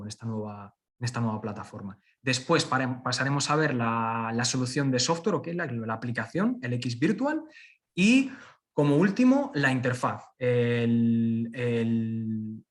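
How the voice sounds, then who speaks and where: A man hosting a webinar with an informal introduction, speaking haltingly and often repeating or correcting himself. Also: medium pitch. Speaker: webinar host.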